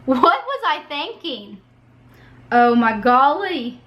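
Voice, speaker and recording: A young woman, talking in a high, bright voice that sounds hoarse and a little nasal from a cold. She chats casually and pokes fun at herself as a YouTuber reacting to her old video. Her tone is clear and forward. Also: very animated, slow. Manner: self-mocking, chatty.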